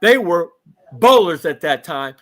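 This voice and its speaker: Fifties male guest, high-pitched, reminiscing in loose chat, listing old friends. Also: big pitch swings, measured pace. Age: 50s. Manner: reminiscing, rattling off shout-outs. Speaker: podcast guest. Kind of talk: casual interview chat.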